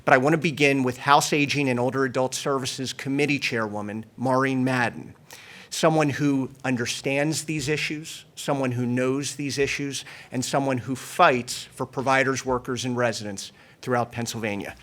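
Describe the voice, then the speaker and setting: A man's voice: medium pitch, fairly even intonation, moderate pace, a bit bright. A man delivering a prepared press conference speech as host and association leader, in a formal, emphatic manner.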